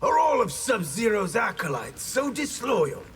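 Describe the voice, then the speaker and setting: A man's voice: sits high, sing-song, measured pace, fairly bright tone, shouting, faint music behind, full character voice. A man playing a contemptuous, brutal enemy warrior in a video game, aggressive and sneering. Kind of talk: video game character dialogue.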